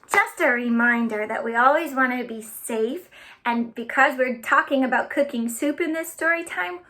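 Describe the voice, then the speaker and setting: A woman leads a children's storytime in a high, bright voice. She takes her time, talking straight to young listeners in simple phrases. Her delivery is animated and clearly geared to play-along activities.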